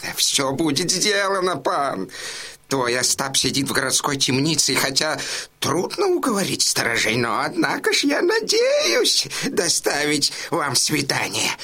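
A wily, pleading innkeeper in an audio drama, with a high and piercingly bright male voice. He speaks slowly, swinging widely in pitch, with fussy hesitations and wailing, drawn-out words. His temper is anxious and scheming. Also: wildly swooping pitch, slightly rough, full character voice. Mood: hushed, eager. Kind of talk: full-cast audiobook dramatisation.

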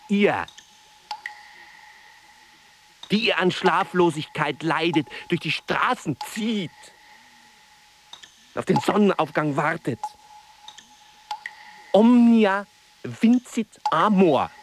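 An actor playing a tormented, lonely old artist, brooding and lovesick, with halting, theatrical delivery in a literary radio play. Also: male voice, a little high, a little hiss or hum, full character voice. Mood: impassioned. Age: older. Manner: theatrical, halting, weighty delivery with repeated words. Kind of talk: literary radio drama monologue and dialogue. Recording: music playing behind the voice. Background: music.

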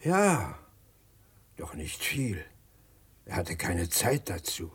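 Elderly tribesman for radio drama: low, slow, gravelly, earnest and solemn. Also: huge pitch swings, exaggerated voice. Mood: earnest recounting. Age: older. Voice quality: husky, gravelly. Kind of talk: German radio drama acting.

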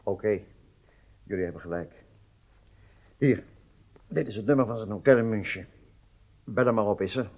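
A man's voice: lowish voice, moderate pace, long pauses, a little mellow. A male actor playing a pragmatic, reflective intelligence man in a radio drama. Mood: businesslike.

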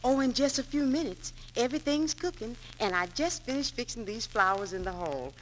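A woman's voice: on the high side, animated, fairly quick, close to an everyday voice. A woman playing a folksy, loyal, feisty household maid in 1940s radio drama, with colloquial speech. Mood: cheerful, feisty. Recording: noisy 1940s radio broadcast recording.

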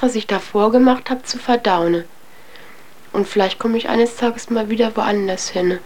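A woman's voice: a little high, a little mellow. A young adult woman giving a candid personal account as an interviewee, speaking in a reflective, hesitant, colloquial way.

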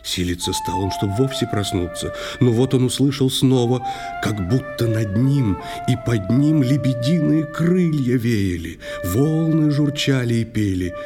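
Mid-pitched guy narrating poetry for an audio play, lyrical, flute music going behind him. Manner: lyrical, measured, theatrical.

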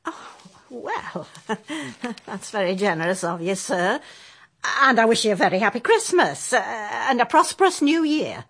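An actress playing a deferential, chatty country cook in a radio drama. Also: female voice, a little high, sing-song, measured pace, fairly bright tone, husky, exaggerated voice. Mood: pleased. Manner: gossipy, respectful.

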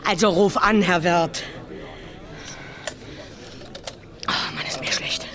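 A woman's voice: on the low side, normal speed. An actress playing a garrulous, nosy local woman in a radio crime play, colloquial with a Berlin accent and repeating herself in bursts. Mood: agitated, shocked. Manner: colloquial, garrulous, stammering repetitions when excited. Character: chatty, nosy working-class older local woman, crime witness.

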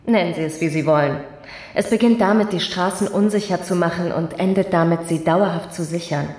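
An actress playing a ruthless, contemptuous security official in a radio drama, cold, menacing and sure of herself. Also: female voice, lowish voice, brisk, darkish tone, natural voice. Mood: cold, righteous. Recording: roomy, echoing studio acoustic.

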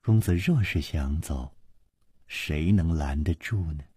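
A man voicing a frail, graceful noblewoman in an audiobook, speaking gently and courteously with sighs. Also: rumbling low, takes it slowly, husky, dry recording, studio quality, voice pushed down, exaggerated voice. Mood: gentle. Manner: gentle, sighing, polite.

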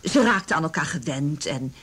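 A woman's voice: sits low, expressive, moderate pace, a bit bright, husky, exaggerated voice. An actress playing a middle-aged village mother in a radio drama, gossipy and confiding, with a hesitant, rambling way of talking. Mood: insistent, recollecting. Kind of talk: naturalistic radio drama dialogue.

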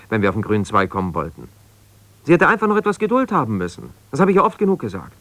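A middle-aged lawyer whose wife has left him, in a low voice with a very dry sound. He is defensive and stubborn, arguing his case insistently. The delivery is naturalistic radio drama, like one side of a private conversation. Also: sharp tone, no echo. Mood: irritated, wounded. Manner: argumentative, insistent, hesitating when conceding. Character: ambitious lawyer, abandoned husband, self-justifying, stubborn, defensive.

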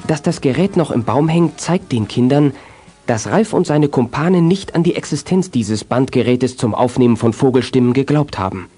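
An actor narrating a children's detective radio play as a composed storyteller. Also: male voice, medium pitch, expressive, normal speed, warm tone, faint music behind, natural voice. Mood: calm narrating. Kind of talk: scripted children's audio drama narration.

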